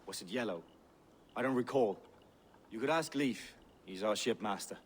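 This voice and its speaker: A man's voice: fairly low pitch, moderate pace, slight background noise, natural voice. A man playing an earnest, evasive Viking visionary in a video game, speaking with a portentous, fervent manner. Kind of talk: video game dialogue acting.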